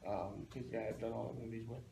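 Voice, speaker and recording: A man's voice: fairly low pitch, fairly even intonation, quick pace, creaky. A man talking casually and informally as a co-host, in a loose, rambling way. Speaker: show co-host and comics seller. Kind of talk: casual chat. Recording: echoey room, constant noise.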